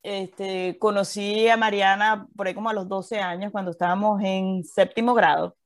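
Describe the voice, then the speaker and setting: A woman's voice: medium pitch, steady tone, normal speed, dry recording, studio quality. A Venezuelan woman in her thirties co-hosting a podcast, chatting casually with many fillers and restarts. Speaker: podcast co-host.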